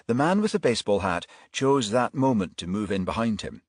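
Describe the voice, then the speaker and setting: A man's voice: on the low side, very animated, a little fast, no room sound, studio quality. A man reading a crime thriller audiobook as scripted narration.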